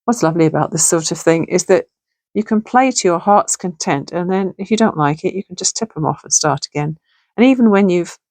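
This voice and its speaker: A middle-aged woman talks through a craft project in a relaxed, chatty way, musing aloud as she works. Her voice sits fairly low and sounds gravelly and a little hoarse. The sound is close and dry, with almost no room around it. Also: no room sound. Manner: chatty, thinking aloud while working. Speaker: crafting channel host. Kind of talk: casual crafting tutorial chat. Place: home sewing room.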